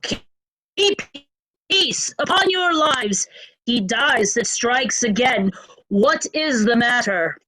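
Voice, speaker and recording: A woman playing a stern, commanding duke in Shakespearean reader's theatre, measured and imperious. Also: on the high side, lively intonation, bright, forceful tone, no room sound, full character voice, low-quality recording. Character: Cornwall, commanding stern duke handing out orders.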